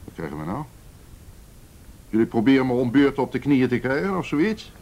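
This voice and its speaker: Actor as belligerent businessman with animated delivery. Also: mid-range voice, brisk, muffled recording, close to an everyday voice. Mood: surly, evasive. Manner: belligerent, sneering, stammering when cornered. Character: defiant, blustering businessman accused of abuse. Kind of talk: radio play acting. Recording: faintly noisy radio drama recording.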